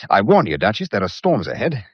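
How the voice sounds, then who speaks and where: An actor playing a flippant, charming British gentleman in a period mystery drama, breezy, teasing and sardonic. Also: fairly low pitch, wildly swooping pitch, brisk, breathy edge, no echo, dull audio, heavily acted. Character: wry, flippant gentleman, charming self-styled old cad.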